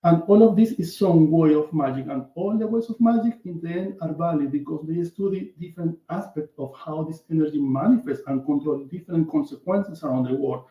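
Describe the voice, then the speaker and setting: Man, Venezuelan Spanish accent, earnest workshop presenter, halting with fillers, echoey room, lo-fi call audio. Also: a little high. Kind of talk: online workshop lecture. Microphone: video call.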